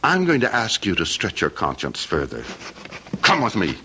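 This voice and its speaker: A man's voice: deep voice, huge pitch swings, background noise, full character voice. An actor playing a cold, scheming heir in his thirties, menacing and mocking, in old-time radio drama style. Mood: coldly insistent. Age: 30s. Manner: menacing, mocking, theatrically deliberate.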